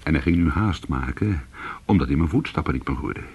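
Hard-boiled ex-burglar, very husky, deep-pitched, brooding, intimate radio-play storytelling. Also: male voice, lively intonation, brisk, a little mellow, dull audio, exaggerated voice. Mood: hushed, suspenseful. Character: weary male ex-cat-burglar recounting a tense night, hard-boiled.

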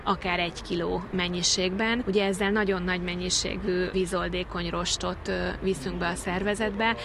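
A woman's voice: on the low side, background noise. A woman explains things as a dietitian in an interview, in long, detailed sentences with examples.

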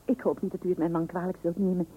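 An actress playing a long-suffering, dryly ironic wife in an old radio comedy, with a Netherlands Dutch accent. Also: female voice, fairly low pitch, level tone, quick pace, slight background noise, lightly acted. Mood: wry. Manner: dryly ironic, mock-patient. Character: wry, long-suffering wife humouring her pompous husband. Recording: muffled old radio recording.

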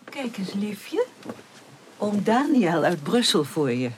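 An actress playing a gentle, sorrowful older motherly lady in a period radio drama, speaking softly and tenderly. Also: medium pitch, sing-song, slight background noise. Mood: tender, coaxing. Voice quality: husky, breathy. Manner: soft, tender, deliberate. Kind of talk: Dutch radio play acting.

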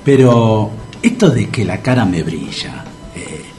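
Actor haciendo de argentino ingenuo, de entonación exageradamente variable. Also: male voice, fairly low pitch, sing-song, slow. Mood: doubtful, teasing. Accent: Argentine, Rioplatense. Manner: hesitant, colloquial. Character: gullible, anxious man pampered by saleswomen, eager. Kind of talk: comic radio play acting. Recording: loud music behind the voice.

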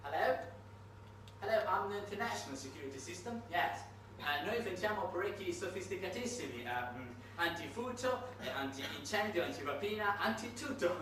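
A man's voice: high voice, lively intonation, normal speed, a bit bright. A man playing an eager, boastful foreign security salesman in a stage satire, with overeager patter sprinkled with English. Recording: distant, very echoey, noisy stage recording.

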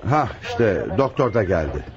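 An actor playing an authoritative, inquisitive police chief inspector in a radio drama, questioning in a measured way. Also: male voice, on the low side, big pitch swings, noisy background. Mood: businesslike, probing. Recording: muffled old radio broadcast, crowd murmur.

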